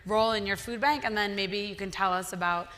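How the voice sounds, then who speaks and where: A woman's voice: medium pitch, steady tone, fairly quick, a bit bright. A middle-aged woman moderating a conference panel, introducing the speakers and setting out the topics in an organized way.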